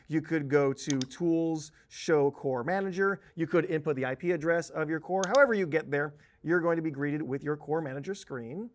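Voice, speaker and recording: A man giving an informal software tutorial in a conversational, emphatic way with some joking. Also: fairly high pitch, a little hiss or hum. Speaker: software trainer.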